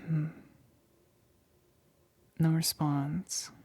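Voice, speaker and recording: A woman playing a nervous, methodical lab assistant in a whispered ASMR roleplay, speaking haltingly in a breathy whisper. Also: low voice, little pitch movement, darkish tone, exaggerated voice. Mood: cautious, clinical. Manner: hesitant, halting, repeats reassurances.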